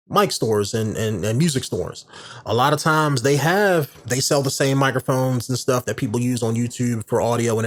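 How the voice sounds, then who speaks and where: A man with a warm, medium-range voice hosts a podcast alone, talking casually into a studio condenser microphone. His delivery is loose and rambling, with plenty of fillers and restarted sentences. His pitch sits in the ordinary middle range for a man. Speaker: podcast host. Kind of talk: casual explanatory monologue. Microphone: large studio condenser microphone.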